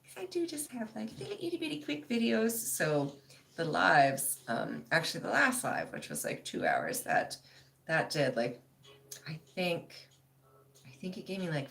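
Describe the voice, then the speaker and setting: A woman in her thirties hosting a casual livestream, reading chat comments aloud, laughing often and trailing off into soft asides. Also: deep voice, sing-song, normal speed, vocal fry, a little hiss or hum.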